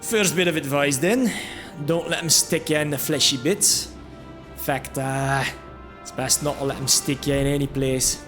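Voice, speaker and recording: A man voicing a gruff, blunt but good-humored dwarf combat trainer in a fantasy audio drama, with a brash, teasing manner. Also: a little high, fairly even intonation, moderate pace, over music, close to an everyday voice. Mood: teasing, apologetic. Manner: brash, teasing, hearty exclamations.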